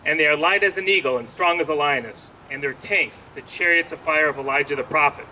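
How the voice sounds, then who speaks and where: A man recites poetry with solemn, deliberate emphasis. His voice is bright and forceful and slightly high. There is faint noise around him. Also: recorded from a distance, low-quality recording. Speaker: congregation president reading a poem.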